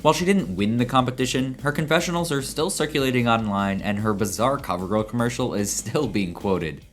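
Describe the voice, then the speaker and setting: A man's voice: medium pitch, fairly quick, soft background music. A man doing scripted countdown narration with a wry, punchy delivery.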